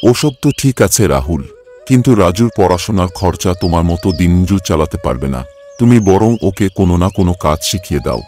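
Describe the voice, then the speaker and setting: Male actor as concerned employer, very low pitch, authoritative, measured, cartoon story, light music behind. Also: expressive, a little mellow. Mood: patronising. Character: male employer, businessman boss, concerned and patronising. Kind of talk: Bengali cartoon story voice acting.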